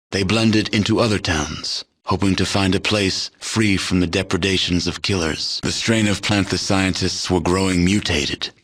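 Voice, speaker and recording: A man playing a grave, world-weary storyteller narrating the fate of a wasteland in a video game, solemn, measured and deliberate. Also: sits low, fairly bright tone. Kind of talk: scripted epilogue narration.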